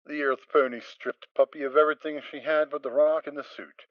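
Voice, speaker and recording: A man narrating a dramatic reading of a story, steady and expressive. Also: on the high side, brisk, no room sound, highs cut off. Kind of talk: dramatic reading of fan fiction.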